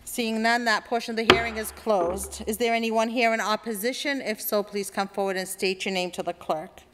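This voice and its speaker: Woman chairing a meeting, brisk and procedural, reverberant chamber. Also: medium pitch, steady tone, very noisy. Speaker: council president. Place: council chamber.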